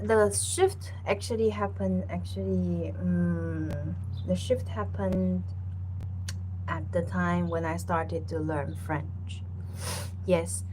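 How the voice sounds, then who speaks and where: A woman's voice: on the low side, slight background noise, low-quality recording. A woman in her thirties from Taiwan, a Mandarin speaker talking in English, chatting casually and laughing often as she hosts her own language channel. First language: Mandarin Chinese. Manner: relaxed and chatty, with many fillers and repeated words, laughing often. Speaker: language teacher and channel host. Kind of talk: casual chat to camera.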